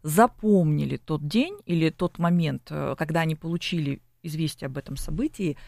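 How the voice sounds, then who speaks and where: Gravelly woman explaining like a lecturer. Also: deep voice, moderate pace, a little mellow, dry recording, clean studio sound. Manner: lecturing, with frequent tag questions. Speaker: psychologist guest. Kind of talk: explanatory broadcast interview.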